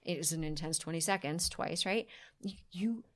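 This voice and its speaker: A woman in her forties, a guest on a podcast, talking in an emphatic, exuberant, self-affirming way with quick bursts. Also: low-pitched. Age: 40s. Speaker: podcast guest, fitness company founder. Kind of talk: casual podcast interview.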